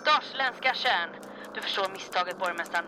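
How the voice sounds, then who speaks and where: A woman's voice: medium pitch, noisy background, lightly acted. A woman playing a dry, unflappable resistance pilot with an understated manner in a comic audio drama. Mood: dry, sheepish.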